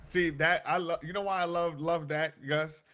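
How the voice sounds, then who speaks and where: A man's voice: fairly high pitch, steady tone, moderate pace, bright, forceful tone. A man hosting a podcast interview, effusive and praising, often restarting his sentences. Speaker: podcast host. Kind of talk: conversational interview.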